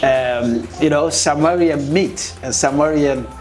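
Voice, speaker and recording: Interviewed author, man from Liberia, measured and reflective, clear music bed underneath. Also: on the high side. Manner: measured, with frequent "uh" pauses.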